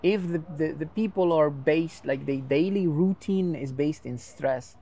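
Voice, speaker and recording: A man's voice: on the high side, measured pace, dark tone, slight background noise. A man, a dance teacher whose first language is a Portuguese creole, giving long thoughtful interview answers in English and explaining with everyday comparisons.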